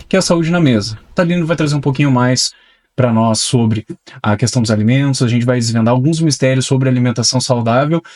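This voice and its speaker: Give me a brisk male radio podcast host. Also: medium pitch, a little mellow. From not from the local region. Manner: friendly, affirming, brisk segues. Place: radio studio.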